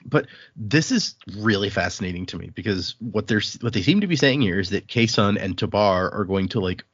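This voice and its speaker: A man's voice: fairly low pitch, expressive, brisk, husky, dry recording, dull audio. A man hosting a podcast, chatting informally as he recaps a book and reads bits of it aloud. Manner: conversational, informal. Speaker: podcast host. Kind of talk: casual chat recapping and reading from a novel.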